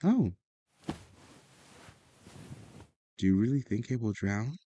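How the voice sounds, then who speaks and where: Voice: mid-range voice, big pitch swings, a little fast, mellow, breathy edge, lo-fi sound. An actor playing a cautious, doubting but determined teenager in an audio drama, speaking hesitantly and seriously.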